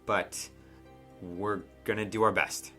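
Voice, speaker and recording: A man improvising narration as game master of a tabletop game, conversational and halting, often checking in with the players. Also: lowish voice, fairly even intonation, pushed and bright. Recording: music behind the voice.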